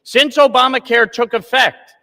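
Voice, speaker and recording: A man's voice: extremely high pitch, steady tone, normal speed, sharp tone. A man, a congressman, giving a combative floor speech in a legislative chamber. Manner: combative, blaming. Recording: long reverb of a large chamber.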